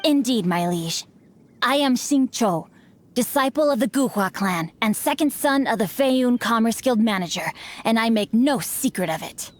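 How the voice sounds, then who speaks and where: Casting: a woman as a polished young male noble, fairly high voice, archaic phrasing, sly humor. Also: expressive, moderate pace, lightly acted. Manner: formal, archaic wording, dry teasing wit. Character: witty bookish young noble heir, male, playful. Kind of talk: game cutscene voice acting.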